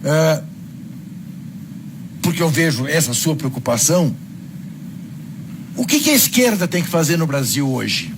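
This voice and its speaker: A man's voice: on the high side, sing-song, a little slow, long pauses. Polite Brazilian male interviewer. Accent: Brazilian Portuguese. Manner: polite, deferential. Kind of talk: conversational interview. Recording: noisy recording.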